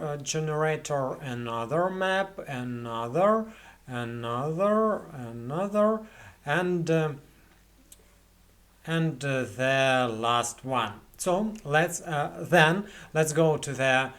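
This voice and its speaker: A young man with a Russian accent, a non-native English speaker, talking through a software tutorial with lots of fillers and restarts. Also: on the high side. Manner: hesitant, many fillers and restarts. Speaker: tutorial host. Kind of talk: screen-recorded software tutorial. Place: small indoor room at a computer.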